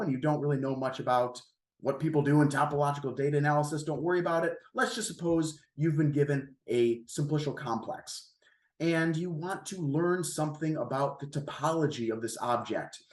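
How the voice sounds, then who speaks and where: A man delivers an academic seminar lecture in a roomy, echoing sound. His voice is a touch raspy from the tail end of a cold. He explains things step by step in informal, approachable wording. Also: on the high side. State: getting over a cold, slightly raspy voice. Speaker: guest seminar lecturer, math researcher.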